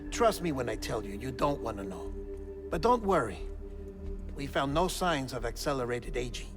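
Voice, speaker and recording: An actor playing an earnest, wry intelligence operative briefing a comrade in a video game cutscene, measured and pausing for drama. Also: male voice, mid-range voice, big pitch swings. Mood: earnest, explanatory. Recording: game music behind the voice.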